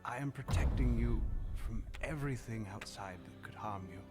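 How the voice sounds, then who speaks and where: A man acts a possessive, overprotective father from an animated fairy tale. The male voice sits at a middle pitch, with music clearly playing beneath it. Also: normal speed, natural voice. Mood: tender, controlling. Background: prominent music.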